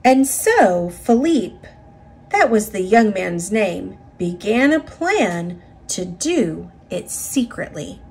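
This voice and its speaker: Female storybook reader, slightly husky, unhurried, with lively pitch swings and dramatic phrasing for children. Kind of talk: expressive read-aloud narration of a children's picture book.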